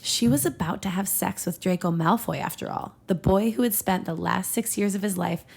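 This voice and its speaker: A woman's voice: medium pitch, moderate pace, vocal fry, darkish tone, a little hiss or hum. A woman podcast host reading fan fiction aloud for comedy, giggly and ready to break off into banter.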